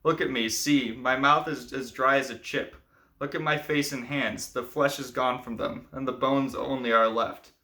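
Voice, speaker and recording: Male narrator as fairy-tale peasant: young, poor, humble, pleading, mid-range voice with tense brightness. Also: mid-range voice, steady tone, normal speed. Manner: pleading, deferential.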